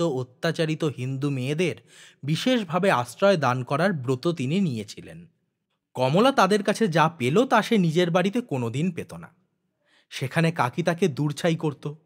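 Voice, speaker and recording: Man, fairly high-pitched voice, dramatic literary narration, audiobook story reading.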